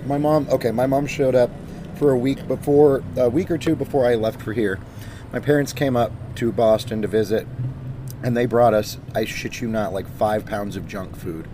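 A man with a raspy, worn voice talks fast and jokes with his co-hosts, often cutting in. He sits outdoors, and steady traffic noise runs clearly behind him. His pitch sits in an ordinary middle range. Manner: animated, jokey, interrupts often. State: raspy voice today. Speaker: podcast co-host. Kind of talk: casual joking chat. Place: outdoors on a high spot.

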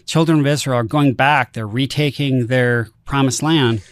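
A man's voice: mid-range voice, normal speed, no echo. A man on a podcast explaining a religious text step by step, often posing rhetorical questions. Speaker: guest scholar.

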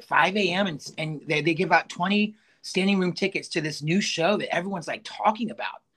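A man with a raspy voice hosting a podcast, telling stories in an expressive, jokey way. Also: fairly high pitch. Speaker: podcast host. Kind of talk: casual podcast chat.

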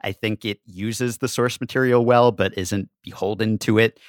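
Lowish-voiced man in wry, casual discussion. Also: steady tone, moderate pace, no echo, clean studio sound. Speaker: podcast guest, staff writer.

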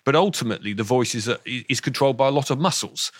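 A man in his fifties with a slightly croaky voice, explaining thoughtfully as an interview guest, often restarting his sentences. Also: mid-range voice, fast talker, no echo, studio quality. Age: 50s. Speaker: author and guest. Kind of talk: interview on voice science.

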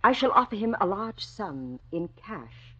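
A woman's voice: medium pitch, sing-song, a little slow, sharp tone, breathy edge, heavily acted. An actress playing a haughty, domineering middle-aged society mother with an imperious, sarcastic way of talking, in a vintage radio drama. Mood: smug, cutting. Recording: muffled old radio recording.

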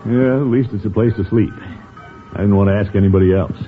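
An actor playing a pompous, flirtatious middle-aged uncle and small-town official in classic radio comedy, blustering and chuckling fussily. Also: male voice, on the low side, fast, soft background music, lightly acted. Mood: fussy, scheming. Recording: noisy, narrow-band vintage radio recording.

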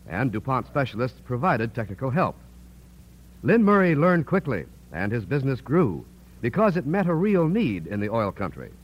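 A man reading a sponsor's message as a polished, measured 1950s radio announcer. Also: medium pitch. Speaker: commercial spokesman. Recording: dull, band-limited vintage radio recording.

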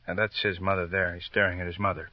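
A man's voice: sits low, level tone, fast, no room sound, natural voice. A man playing a blunt, impatient police detective in a vintage radio drama, questioning insistently in clipped phrases. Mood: impatient, pressing. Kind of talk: 1940s-50s radio drama acting. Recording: muffled old radio recording, dry studio.

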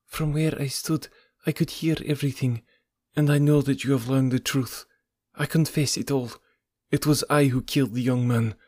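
A weary but proud foreign woman confessing with quiet dignity, as a male narrator puts her on. Her voice sits well above his own and is a little husky. The recording is dry and close. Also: medium pitch, steady tone, moderate pace, no echo, pitched above the performer's own voice, lightly acted.

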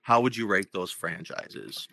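A man in his twenties who hosts a podcast, with a deep radio voice, chatting casually and laughing often. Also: expressive, measured pace, vocal fry, pushed and bright. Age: 20s. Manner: joking, laughs often between phrases. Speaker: podcast host. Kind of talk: casual chat.

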